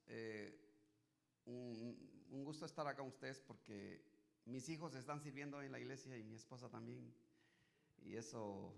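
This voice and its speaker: A middle-aged pastor from Guatemala preaching as a guest, hesitant with restarts at first, then building to emphatic preaching. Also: male voice, lowish voice, creaky. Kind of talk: sermon.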